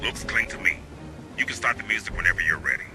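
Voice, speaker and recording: An actor playing a dry, sardonic soldier advising marines in a video game. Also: male voice, sits low, quick pace, over loud music, lightly acted. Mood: dry, calm. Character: wry, battle-ready male military operative advising marines. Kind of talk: video game military dialogue.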